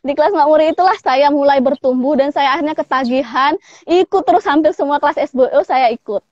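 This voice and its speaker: High-pitched woman, palembang-born, chatty biz owner on a dry muffled video call. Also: a little fast, darkish tone, no echo. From Palembang, Indonesia. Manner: chatty, frequent laughs, tag questions. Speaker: pempek business owner, guest. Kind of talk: casual interview over a call.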